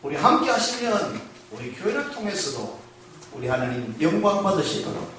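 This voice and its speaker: Male pastor, high voice, call-and-response sermon, very reverberant church, distant mic. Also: big pitch swings, a bit bright, slight background noise. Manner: call-and-response preaching, asking the congregation questions; slows to stress key phrases. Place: church sanctuary. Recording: echoey church hall, mic far off.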